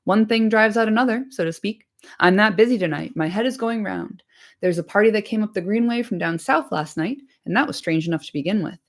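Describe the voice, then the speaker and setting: A woman voicing a fat, bustling, bald male innkeeper who talks quickly and without pause. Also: medium pitch, close to an everyday voice. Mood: chatty, harried. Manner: brisk, talkative, hurried.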